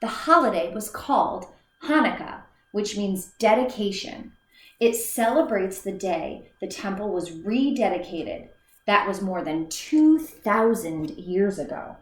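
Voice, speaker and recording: A woman teacher reads a children's story aloud, unhurried and deliberate, breaking the text into small phrases. Now and then she trips and restarts a word. Her voice carries a noticeable echo from the room around her. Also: mid-range voice, expressive. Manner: slow, careful read-aloud with small slips and restarts. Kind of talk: reading a children's book aloud. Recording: echoey room.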